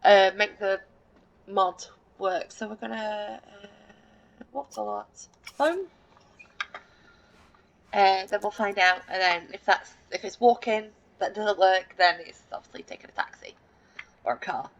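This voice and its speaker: A woman talks her way through a life-sim game in a loose, chatty ramble, full of ums and restarts. She pokes fun at herself now and then. Her mid-pitched voice comes through a slightly dull, lo-fi mic. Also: animated, measured pace, vocal fry, long pauses. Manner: self-deprecating, lightly laughing. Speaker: let's play gamer. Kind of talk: casual gameplay commentary.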